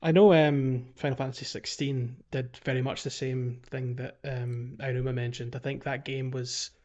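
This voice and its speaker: A man co-hosting a podcast in a casual, somewhat hesitant, halting conversational style. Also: medium pitch, flat delivery, a little mellow, muffled recording. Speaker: podcast co-host. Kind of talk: casual video game podcast discussion.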